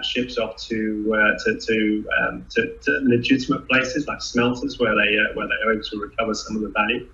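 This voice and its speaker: A man who founded a startup answers interview questions, restarting sentences often and filling pauses with "uh" and "um". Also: on the low side, pushed and bright. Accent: non-New Zealand, foreign-born. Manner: halting, many restarts and fillers. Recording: echoey, dull lo-fi audio.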